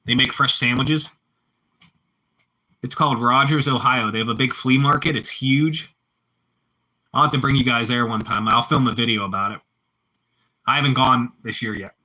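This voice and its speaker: A man's voice: medium pitch, normal speed, pauses often, bright, forceful tone, low-quality recording. A man with a Pittsburgh accent chatting casually with live-stream viewers, rambling and repeating himself as he answers the chat.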